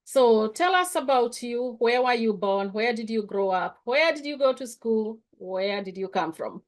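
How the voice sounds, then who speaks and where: A woman's voice: a little high, lively intonation, unhurried. A woman hosting an interview show, asking her guest a string of welcoming questions. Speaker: show host.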